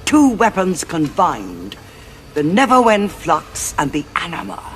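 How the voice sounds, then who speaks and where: A woman playing a cold, calculating senior Time Lord strategist in an audio drama, with a measured, imperious delivery. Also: fairly low pitch, huge pitch swings, slight background noise. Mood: fanatical.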